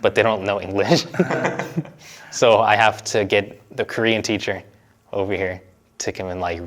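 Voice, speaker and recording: Young man, from the United States, lowish voice, relaxed, laughs often, casual interview. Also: measured pace. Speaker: interviewee, university student.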